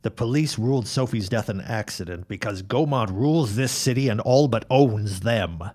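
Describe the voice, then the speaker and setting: Man playing protective father and neighbor, resolute, lowish voice, early twentieth-century audio drama. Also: moderate pace, a little mellow, natural voice. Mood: urgent, determined.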